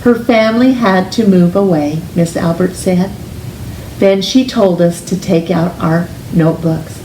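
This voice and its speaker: A woman reading a children's picture book aloud in an expressive, gentle, deliberate storytelling manner, with drawn-out emphasis on words. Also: lowish voice. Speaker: storytime reader, teacher. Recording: roomy, distant mic with faint hiss.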